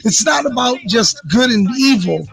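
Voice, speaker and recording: A man's voice: very high-pitched, lively intonation, moderate pace, gravelly, a bit bright. A man speaking as a guest promoter, with emphatic, slangy hype talk that often repeats phrases for effect.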